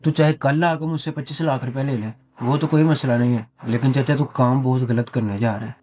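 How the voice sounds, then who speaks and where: A man's voice: mid-range voice, fairly even intonation, fairly quick, dark tone, close to an everyday voice. A man playing a frank younger neighbour who admonishes earnestly in a dramatised moral story. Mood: earnest warning. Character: frank, cautioning younger plot buyer, concerned neighbour.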